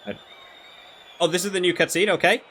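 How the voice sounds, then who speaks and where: A man's voice: high voice, steady tone, fairly bright tone. Man thinking aloud over gameplay, background noise. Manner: thinking aloud, critical, halting with repeats. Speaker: gaming video creator reviewing while playing. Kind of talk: casual gameplay commentary.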